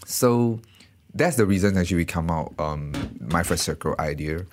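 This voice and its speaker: A man from Malaysia, a startup founder, answering interview questions in a halting way, repeating words. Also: low voice, animated, faint music behind.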